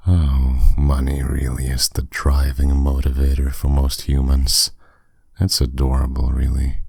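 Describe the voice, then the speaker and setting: A man playing a powerful, courteous demon captor in an ASMR roleplay, speaking with smooth, teasing, condescending authority. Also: very deep voice, completely flat delivery, darkish tone, no echo, studio quality, heavily acted. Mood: teasing, amused. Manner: smooth, condescending, unhurried, with endearments.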